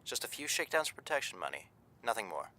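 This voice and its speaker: Man playing an earnest, sharp-voiced young tough. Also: medium pitch, big pitch swings, fast. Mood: reassuring, earnest. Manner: plain-spoken, earnest, pleading. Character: tough, earnest young male hired thug, devoted expectant father. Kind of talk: 1930s gangster radio drama acting.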